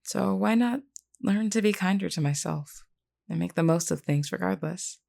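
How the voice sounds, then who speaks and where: Woman voicing a vampire queen, deep female register, crackly vocal fry, measured, formal, kindly, solemn, audio roleplay drama. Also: a little mellow. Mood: reflective, gentle. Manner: measured, formal, earnest, reassuring. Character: composed, kindly vampire queen, solemn but caring leader. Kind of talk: scripted character roleplay audio.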